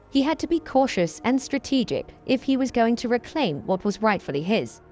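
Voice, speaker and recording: A woman reading a dramatic story in an even, unhurried scripted narration. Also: medium pitch.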